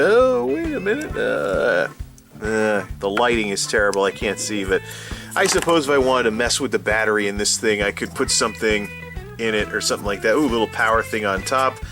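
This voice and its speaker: A man hosting a casual, unscripted gadget unboxing, rambling and interrupting himself as he thinks aloud. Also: medium pitch. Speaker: gadget unboxing video host. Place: indoors at a desk, small room.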